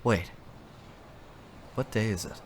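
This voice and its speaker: A woman voicing a nervous teenage son in an audio drama, halting and anxious. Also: extremely low pitch, background noise.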